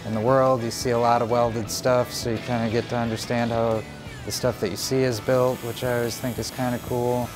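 A man's voice: mid-range voice, little pitch movement, brisk, rough recording. A young adult man who works as a welder, talking in a laid-back, plain-spoken way. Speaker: welder, graduate. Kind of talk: promotional interview. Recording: music bed underneath.

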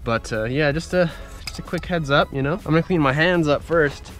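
A young man with a congested voice, chatting excitedly to viewers as he works on his car in a driveway, recorded on a head-mounted camera. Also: a little high, fairly quick, faint music behind. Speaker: car vlogger. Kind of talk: casual point-of-view vlog. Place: outdoors in a driveway, working in a car. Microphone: head-mounted action camera.

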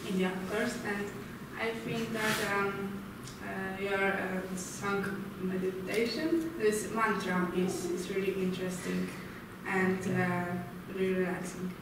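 Brief: teen girl from Poland, slow and shy, distant in an echoey room. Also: medium pitch, steady tone. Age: teens. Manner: shy, brief answers with pauses. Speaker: student. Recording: echoey room from far off, noisy.

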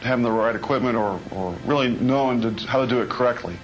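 A man's voice: medium pitch, fast talker, loud music behind the voice. A man speaking as an interviewee, recalling events in an unscripted way. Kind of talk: interview recollection. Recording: muffled old TV tape.

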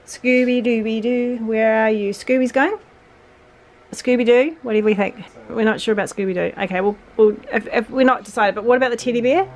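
A woman's voice: on the high side, fairly even intonation, a little fast, highs cut off. A woman in her forties chatting as she sorts a bedroom, talking to her sons between remarks, with lots of fillers. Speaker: vlogger, mother. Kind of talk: casual decluttering narration. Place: bedroom, indoors.

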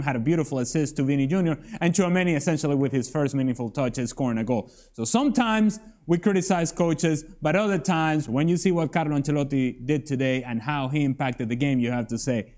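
A man speaking as a TV football analyst, explaining a coach's choices in a measured studio style. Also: fairly high pitch, darkish tone.

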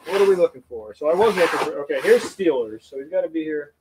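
A chatty man in his thirties hosts a casual live auction stream, his voice sitting a little high. He talks into a webcam mic in a small shelf-lined storage room and sometimes drifts away from it. A faint hiss runs underneath. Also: animated, quick pace. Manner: chatty, reads viewer comments aloud, moves around while talking. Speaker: auction livestream host. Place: small storage room full of shelves, indoors. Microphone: webcam mic at desk, sometimes farther away.

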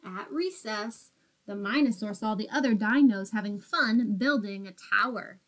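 A woman's voice: mid-range voice, measured pace, a bit bright. A woman in her twenties, a teacher reading a storybook and talking simply to small children. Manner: simple explanatory phrasing with questions to children. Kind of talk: storybook read-aloud and talk to small children. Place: small plain room indoors.

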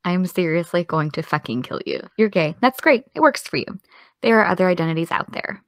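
A woman's voice: fairly low pitch, lively intonation, brisk, dry recording. A young actress playing an exasperated, defensive young woman with dry comebacks in an audio drama. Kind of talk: audio drama dialogue of a video call.

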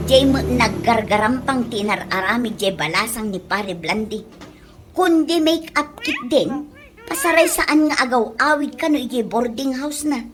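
A woman's voice: high-pitched, a bit bright, a little hiss or hum. A woman voicing a cheeky young village gossip in an Ilocano comedy radio drama, with a Filipino Ilocano accent.

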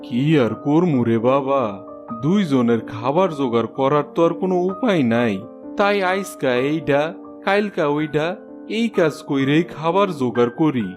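An actor playing a poor, weary, pleading old village husband in a cartoon, in a rural Bangladeshi Bengali dialect. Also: male voice, a little high, expressive, moderate pace, music in the background, natural voice. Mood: sorrowful. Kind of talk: cartoon voice acting.

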